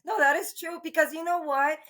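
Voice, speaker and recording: A woman's voice: extremely high pitch, fairly even intonation, a little fast, fairly bright tone. A middle-aged woman from Cuba hosting a podcast, talking in an earnest, rambling way with many restarts and fillers. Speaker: podcast host. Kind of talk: casual interview chat.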